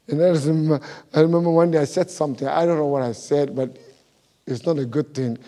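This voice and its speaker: Animated pastor with a non-native English accent. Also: male voice, on the high side, brisk. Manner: animated, laughing storyteller, big swings in loudness. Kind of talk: sermon.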